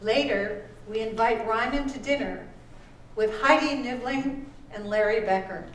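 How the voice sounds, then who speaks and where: A woman author reading her own literary text aloud at a live event, with measured, deliberate phrasing. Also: on the high side. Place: live performance event in a room. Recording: roomy, distant microphone with background noise.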